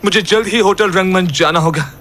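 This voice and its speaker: A woman's voice: fairly low pitch, a little fast, a bit bright, slight background noise, close to an everyday voice. A woman playing an alarmed young hero who thinks aloud in a horror audio drama. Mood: worried, resolved.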